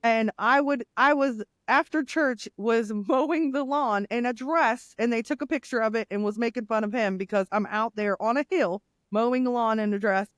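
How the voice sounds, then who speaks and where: A middle-aged woman with a deep voice, a nurse and coach speaking candidly as an interview guest. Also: normal speed, hardly any pauses, dry recording, studio quality. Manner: candid, animated storyteller with laughs. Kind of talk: casual interview conversation.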